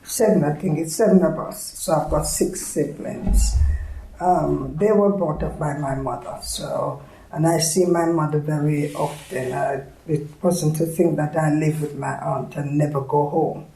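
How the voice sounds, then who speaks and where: A woman in her seventies with a Jamaican accent, recalling her life haltingly in an oral history interview. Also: low voice, steady tone, warm tone. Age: 70s. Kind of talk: reminiscing in an interview. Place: indoors at an arts centre. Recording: echoey room.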